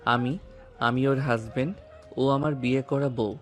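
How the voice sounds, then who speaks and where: A young police officer husband played by a man in a romantic audio drama. He is commanding and confrontational, protective and angry, in a medium-pitched voice with faint music underneath. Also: fairly even intonation, a little slow, lightly acted. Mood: firm, challenging.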